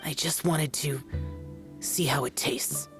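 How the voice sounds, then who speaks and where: A man voicing an aloof, solemn young immortal warrior in a game, speaking tersely with a breathy, brooding manner. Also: a little high, fairly even intonation, takes it slowly. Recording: game dialogue over music.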